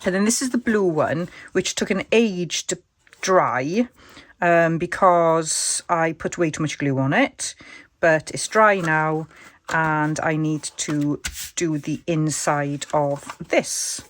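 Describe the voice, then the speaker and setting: A woman with a croaky voice, thinking aloud as she demonstrates a casual craft tutorial. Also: deep voice, takes it slowly, a little hiss or hum. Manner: chatty, thinks aloud while working. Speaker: crafter demonstrating junk journal covers.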